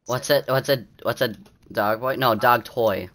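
Squeaky, bright-voiced teen boy streamer. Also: a little slow. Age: teens. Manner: excitable, bickering with friends. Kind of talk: casual gaming chat with friends.

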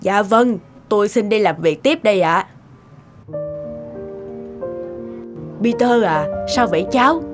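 Woman acting a kindly cartoon lady, mid-pitched, polite, gentle, composed, light music underneath. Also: animated, normal speed, close to an everyday voice. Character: courteous women of a children's cartoon, kindly and composed. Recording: music behind the voice.

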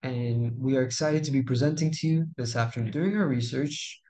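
A man's voice: medium pitch, fairly quick, dark tone. A teenage boy from Texas reading a formal school presentation over a video call. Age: teens. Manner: formal, reads from a script. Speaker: student presenter.

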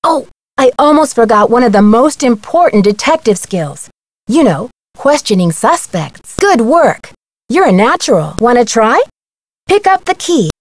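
A woman's voice: fairly high pitch, very animated, measured pace, dry recording. A woman playing a young, confident amateur detective, giving friendly scripted tutorial instructions in a video game. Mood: chipper, instructive. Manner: friendly, clear instructional delivery.

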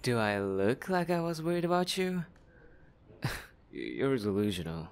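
A man's voice: medium pitch, very animated, measured pace, clean tone. A man voicing a flustered, defensive male coworker in an ASMR roleplay. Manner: defensive, huffy denials.

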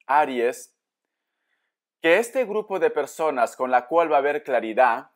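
A man giving an informal tarot reading straight to viewers, explaining as he goes and answering his own questions. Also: fairly high pitch. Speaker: tarot reader, host. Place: indoor room with books at a table.

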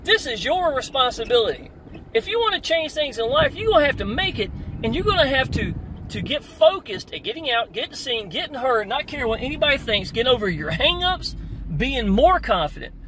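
A man's voice: very high voice, fairly quick. Man preaching from a convertible. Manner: energetic, preachy, repeats "y'all". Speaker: online marketing coach. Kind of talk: motivational vlog while driving. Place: open-top convertible car outdoors. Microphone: phone camera at arm's length. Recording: wind and road noise, mic at a distance.

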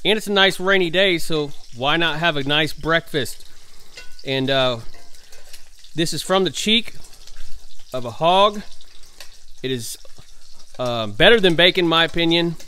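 A man's voice: a little high, expressive, a little slow, long pauses, pushed and bright, clean tone. A man, cooking at home and chatting enthusiastically to his viewers in a folksy way. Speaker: farm vlogger. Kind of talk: casual cooking vlog. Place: indoor home kitchen.

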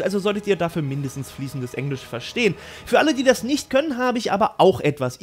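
A man with a fairly high voice presents online video commentary. His delivery is very animated and enthusiastic, speaking straight to the viewers, with music playing behind him. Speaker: YouTube host. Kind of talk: energetic scripted video commentary.

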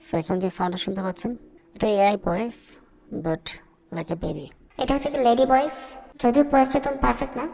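A man voicing a cute baby girl through an AI voice changer while demonstrating software. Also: extremely high pitch, expressive, brisk, vocal fry, voice pushed up, exaggerated voice. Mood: demonstrative.

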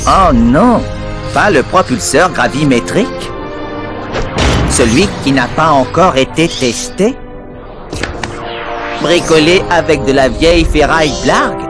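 A small polite robot. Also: male voice, a little high, slow pace, long pauses, music in the background. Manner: calm, formal and precise. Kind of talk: French video game dub.

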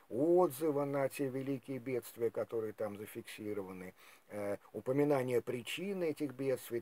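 A man giving an unscripted lecture, pausing often and filling gaps with hesitation sounds as he thinks aloud. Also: medium pitch, mellow. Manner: hesitant, frequent pauses and filler sounds.

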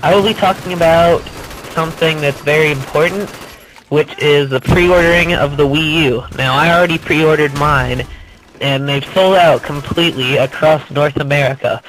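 A man's voice: fairly high pitch, background noise. A young man, congested from a cold, chatting casually as he talks over his gameplay. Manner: chatty, rambling, with fillers. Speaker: gaming channel host.

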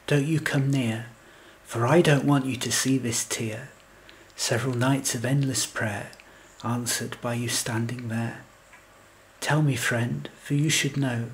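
A man plays a lovelorn, slightly anxious hero narrating a comic audio story. He is wordy, earnest and wry, with a mid-pitched creaky voice. Faint noise sits behind the recording. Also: poor audio. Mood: earnest, poetic. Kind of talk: scripted comic first-person narration and verse.

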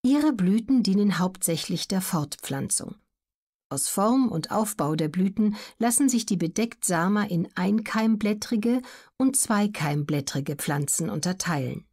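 A woman's voice: mid-range voice, animated, a little slow, a little mellow. Woman narrating an educational audiobook.

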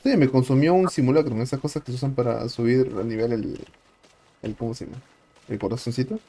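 A man's voice: medium pitch, fast talker, mellow. A man talking casually and playfully with slang while playing a game with others. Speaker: gamer in a group play session. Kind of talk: casual chat while gaming. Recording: faintly noisy voice chat recording.

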